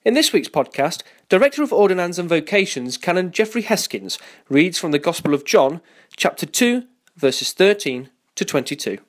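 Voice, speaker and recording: A man's voice: high-pitched, very animated, moderate pace. A man reading a scripted announcement as the announcer of a podcast.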